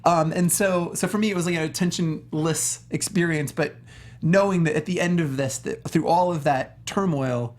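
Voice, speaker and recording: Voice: medium pitch, normal speed, a little mellow. A speaker talking earnestly and at length as a panelist in a casual discussion of films and games. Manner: earnest, emphatic, long-winded. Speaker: talk show panelist. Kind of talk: casual film and game discussion.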